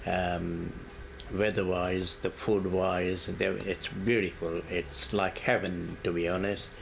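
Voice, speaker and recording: An older retired man, born in India, answering oral history questions in a halting way with many pauses and fillers. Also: low voice, flat delivery, gravelly, a little hiss or hum. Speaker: interviewee.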